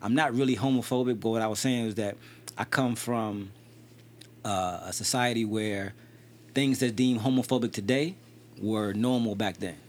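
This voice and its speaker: A man's voice: lowish voice, fairly even intonation, normal speed, a little hiss or hum. A man in his thirties hosting a podcast in casual conversation, often asking "you know what I mean". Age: 30s. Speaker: podcast host.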